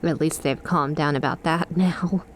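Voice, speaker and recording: Casting: woman to play a young female lamia best friend for ASMR roleplay, unhurried, soft, hesitant and affectionate. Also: low voice, steady tone, slight background noise. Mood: cozy, fond.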